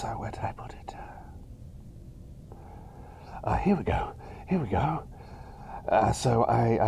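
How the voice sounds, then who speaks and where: A man plays an eccentric male plague doctor in an ASMR roleplay, murmuring and whispering with a crackly fry. His pitch swings wildly as he rambles, doubles back on phrases and hopes for approval. A faint noisy ambience sits behind the voice. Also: heavily acted. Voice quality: breathy whisper mixed with soft voice. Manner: hesitant, rambling, repeats phrases, eager for approval.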